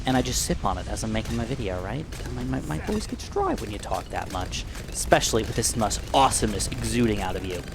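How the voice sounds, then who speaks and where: A young man giving casual, excitable gameplay commentary, his voice a bit dry and raspy, swinging from upbeat outbursts to mumbled asides. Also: fairly low pitch, fast talker, few pauses, music in the background. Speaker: gaming YouTuber.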